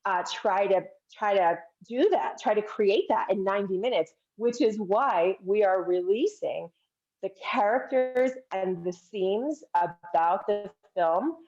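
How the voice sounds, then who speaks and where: A woman's voice: mid-range voice, unhurried, darkish tone, dull audio. A woman guest, a filmmaker, explaining her project in an earnest, casual way with some hesitations. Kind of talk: casual interview chat.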